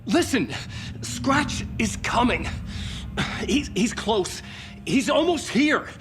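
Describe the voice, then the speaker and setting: A panicked male writer, desperate and pleading. His delivery swings widely in pitch and comes in slow, urgent bursts of dramatic game acting. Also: sing-song, music in the background, exaggerated voice.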